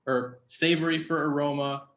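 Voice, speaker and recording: A man's voice: a little high, fairly even intonation, normal speed, fairly bright tone. A man moderating a video-call session, asking brief polite questions. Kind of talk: casual video-call chat. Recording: echoey room on a call.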